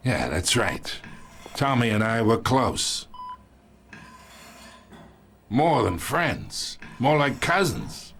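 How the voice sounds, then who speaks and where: An actor playing an ageing, wounded, sly mob boss in a video game, with a gravelly voice and a taunting, falsely chummy drawl. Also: male voice, medium pitch, sing-song, extremely slow, long pauses, fairly bright tone, exaggerated voice. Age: older. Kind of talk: dramatic video game acting.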